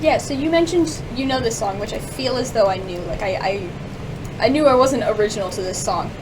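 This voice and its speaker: A woman's voice: fairly high pitch, expressive. Young woman chatting, noisy distant recording. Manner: halting, rambling, frequent "like". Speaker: radio show guest. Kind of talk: casual chat about music.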